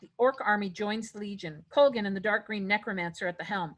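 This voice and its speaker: A woman's voice: mid-range voice, fast talker. A woman reading stage directions as narrator in a scripted fantasy table read.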